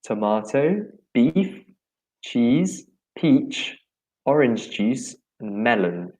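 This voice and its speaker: A man's voice: medium pitch, sing-song, a little mellow, poor audio. Encouraging male co-host on a lesson. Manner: chatty, encouraging, quick to agree. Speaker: English teacher co-host. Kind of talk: casual live English lesson.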